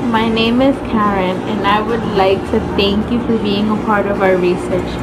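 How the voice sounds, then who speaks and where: A woman's voice: a little high, low-quality recording. Earnest young woman reading over music. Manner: earnest, read-aloud delivery. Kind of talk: read closing message. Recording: loud music bed behind voice.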